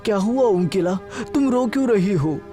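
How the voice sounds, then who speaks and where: A kindly, meek employee, played by a man, talks in an earnest, worried way. The delivery is apologetic cartoon-drama acting, with music clearly behind it. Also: high voice, lively intonation, normal speed. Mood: concerned. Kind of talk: cartoon moral-story acting.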